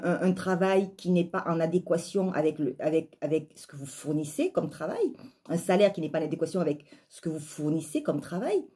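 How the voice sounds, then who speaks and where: A woman with a southern French accent speaks in a lowish, husky voice. She delivers an opinionated monologue straight to her listeners, stressing points through repetition and rhetorical questions. The tone of the voice itself is soft-edged and dark. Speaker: life coach and spiritual vlogger. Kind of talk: opinionated spoken monologue to viewers.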